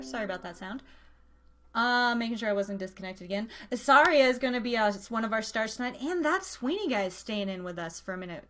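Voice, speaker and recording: High, bright-voiced woman hosting. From USA. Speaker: show host. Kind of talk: casual live show hosting.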